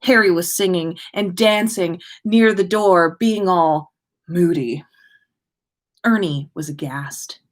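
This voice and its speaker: A woman's voice: on the low side, slow pace. A woman hosting a livestream, chatting informally and reading stories aloud with expression. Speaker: podcast livestream host reading listener stories. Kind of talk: casual livestream chat and expressive story reading.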